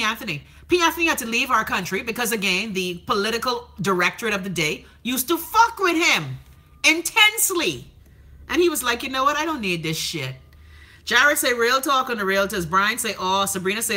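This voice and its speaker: A Bahamian woman hosting a livestream, chatting casually and sassily while reading out viewer comments, with plenty of profanity. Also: fairly high pitch. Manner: sassy, profane, animated storytelling. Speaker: livestream host.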